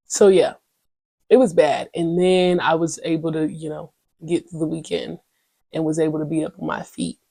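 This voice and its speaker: Mellow, dry-voiced young woman podcaster. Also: deep voice, fairly even intonation, moderate pace, vocal fry, no room sound. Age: young adult. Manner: candid, slangy and rambling, with many "you know what I'm saying" fillers. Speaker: podcast host. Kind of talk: casual solo podcast chat.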